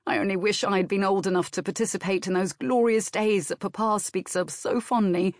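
Lady doing a young gentleman, outspoken and earnest, emphatic, historical audiobook. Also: mid-range voice, fairly even intonation, brisk, dry recording, clean studio sound, lightly acted. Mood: vehement. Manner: vehement, emphatic. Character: earnest, outspoken young man, loyal brother.

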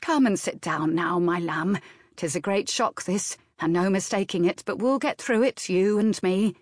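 A woman voicing a motherly, brisk older servant woman with old-fashioned rustic speech. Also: medium pitch, no room sound, studio quality, natural voice. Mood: soothing.

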